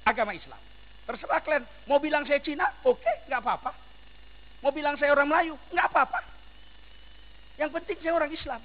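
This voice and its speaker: A man's voice: very high voice, expressive, fairly quick, long pauses, phone-quality sound. A man preaching a sermon in a large mosque, emphatic and firing rhetorical questions at the congregation.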